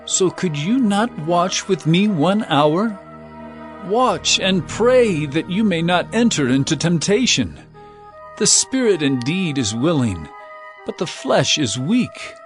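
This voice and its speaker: An actor playing a solemn, sorrowful male teacher and prophet in a dramatized scripture recording, speaking earnestly and deliberately. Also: sits high, very animated, soft background music, heavily acted. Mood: weary reproach.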